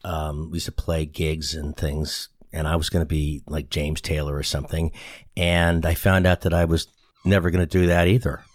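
A low-pitched man in his sixties from the Boston area talks as an interview guest, rambling and self-deprecating, with many ums and false starts. His voice sits close and dry on the mic, with no room around it. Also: no echo. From Boston area, USA. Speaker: guest, brand specialist and author. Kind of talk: casual conversational interview. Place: studio in San Antonio.

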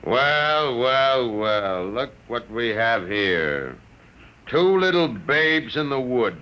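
An actor playing a smug, gun-wielding ship's officer in an old radio drama, mocking and threatening. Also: male voice, mid-range voice, very animated, slow, pushed and bright, smooth, heavily acted, low-quality recording. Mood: mocking, menacing.

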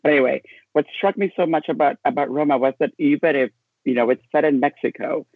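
A man's voice: a little high, quick pace, slightly rough, no room sound, phone-quality sound. A man from Honduras speaking as a guest film critic, conversational and personal, over a thin remote connection. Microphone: remote call.

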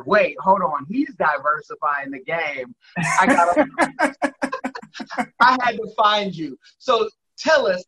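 A man's voice: high-pitched, bright, forceful tone, slightly rough, lo-fi sound. A man hosting an interview from his home kitchen, rambling and repeating words as he talks eagerly. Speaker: interview host. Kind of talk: casual interview chat.

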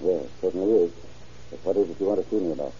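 A man playing a brisk, businesslike small-town sheriff in an old-time radio drama. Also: low-pitched, dark tone, lightly acted. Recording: muffled old radio recording with hiss.

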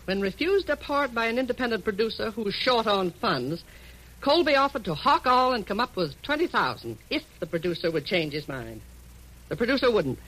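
A woman's voice: a little high, big pitch swings, normal speed, fairly bright tone, low-quality recording. An actress playing an imperious older American businesswoman, curt and commanding, in 1940s radio drama style. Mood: brusque, businesslike. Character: bossy, brusque older female publishing tycoon. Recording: old radio drama recording.

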